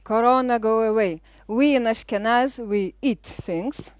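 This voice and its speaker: A middle-aged woman with a Lithuanian accent hosting a live cooking show, chatting casually as she explains each step with frequent pauses. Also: a little high, clear, resonant tone, dry recording.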